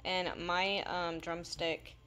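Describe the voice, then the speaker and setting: A woman's voice: on the low side, level tone, slow, noisy background. A woman in her thirties, a home vlogger, talks through a recipe step by step in a casual, instructive way. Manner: chatty, instructive, says "you're gonna wanna" a lot.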